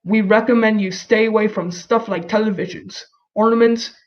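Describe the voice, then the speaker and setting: Woman's flat alert voice, lo-fi. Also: medium pitch, moderate pace. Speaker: emergency alert announcer. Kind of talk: automated emergency broadcast reading. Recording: dull lo-fi audio.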